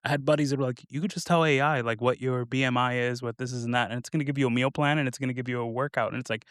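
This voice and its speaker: A man's voice: medium pitch, fairly even intonation, rapid-fire, a bit bright, smooth, no echo, studio quality. A man in his thirties hosting a podcast, asking loose, rambling questions full of fillers. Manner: rambling, filler-heavy questions with frequent laughs. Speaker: podcast host. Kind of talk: casual interview chat.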